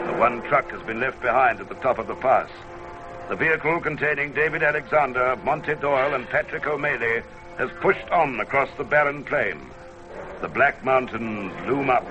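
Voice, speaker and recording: A man narrating an old-time radio adventure from a script in a measured, theatrical manner. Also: mid-range voice. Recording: dull vintage radio recording. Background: music.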